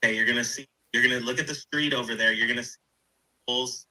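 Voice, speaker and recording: A man talking casually and excitedly, explaining things insistently and repeating himself to get his point across. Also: medium pitch, quick pace, low-quality recording.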